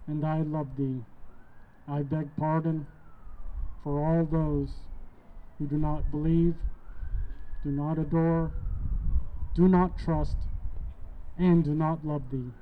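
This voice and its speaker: A man leads solemn prayer in a formal, reverent manner. His voice sits a little higher than usual for a man, and he takes each phrase very slowly. The recording is dull and lo-fi. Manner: measured, formal, reverent recitation. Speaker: prayer leader.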